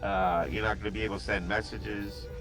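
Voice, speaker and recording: Rambling game master with soft music. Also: male voice, on the low side, little pitch movement, brisk. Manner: rambling, thinking aloud, many fillers. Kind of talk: narrating a tabletop game.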